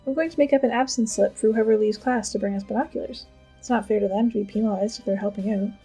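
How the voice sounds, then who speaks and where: A take-charge teenage schoolgirl in an audio drama. Her voice is high but soft-edged and husky, and she stays deadpan and decisive. Faint music sits behind her. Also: quick pace. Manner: matter-of-fact, deadpan. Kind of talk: audio drama, understated dialogue.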